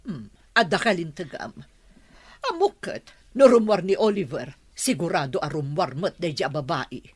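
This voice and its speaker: A woman playing a shrewd, suspicious middle-aged mother and mansion mistress in an Ilocano radio drama, with a husky voice. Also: fairly low pitch, animated, moderate pace, dry recording. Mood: scheming, suspicious.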